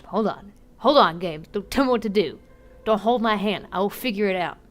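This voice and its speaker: Woman streamer, casual gameplay chatter, excitable, giggly, medium pitch. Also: a little fast. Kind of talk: casual live gameplay commentary.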